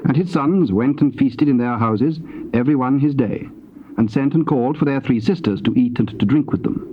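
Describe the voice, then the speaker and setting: A man gives a solemn, measured, declamatory narration of scripture. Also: mid-range voice, slightly rough. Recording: dull tape with faint noise.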